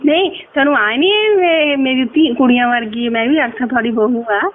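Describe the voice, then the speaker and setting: A woman's voice: sits high, a little fast, a little hiss or hum. Young punjabi lady from india on a phone call, tinny line, short agreeing replies. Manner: brief, acquiescent replies. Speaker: caller, daughter-in-law. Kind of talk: private phone conversation.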